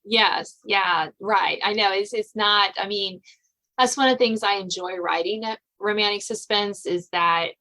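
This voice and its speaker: A woman's voice: medium pitch, unhurried, pushed and bright, low-quality recording. An American woman hosting a casual interview, talking in a chatty, rambling way peppered with filler phrases. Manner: chatty and rambling, frequent "you know". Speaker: podcast host and suspense novelist.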